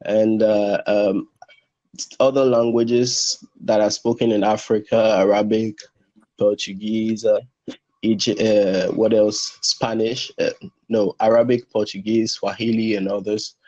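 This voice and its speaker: A man's voice: little pitch movement, a little slow, mellow. Hesitant man, muffled sound. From Benin. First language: French. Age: young adult. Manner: hesitant, many restarts and fillers. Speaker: translation student guest. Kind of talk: casual interview answers. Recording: muffled low-fidelity audio.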